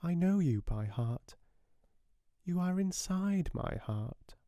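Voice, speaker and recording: A quaint little girl from a storybook, played by a man, speaks in a high, small voice. She is soft, polite and earnest, and the sound is close and dry. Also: animated, slow, dry recording. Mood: earnest, tender.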